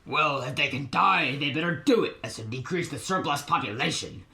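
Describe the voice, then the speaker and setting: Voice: mid-range voice, expressive, normal speed, vocal fry, bright, forceful tone. A performer voicing a grumpy, miserly old cat businessman in a comic radio play, snappish and scornful. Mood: smug, callous.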